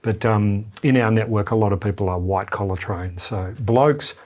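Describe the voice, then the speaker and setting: A man's voice: on the low side, moderate pace, a little mellow. A man with an Australian accent chatting as an interview guest, colloquial and frank in the way he talks. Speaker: interview guest, ministry apprenticeship leader. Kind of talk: casual conversation.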